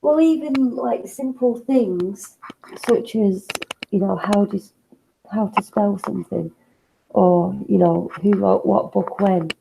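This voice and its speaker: A woman co-hosting a casual talk show, sniffly and congested from a cold, speaking thoughtfully with pauses and fillers. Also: mid-range voice, creaky, noisy background. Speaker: co-host.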